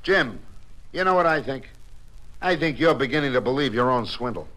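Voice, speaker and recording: A man's voice: medium pitch, expressive, normal speed, lightly acted. An American man in an old radio drama, playing a hot-headed, suspicious swindler who speaks curtly and threateningly. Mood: skeptical. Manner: curt, threatening. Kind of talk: 1940s-50s radio drama acting. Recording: old radio recording with background hiss.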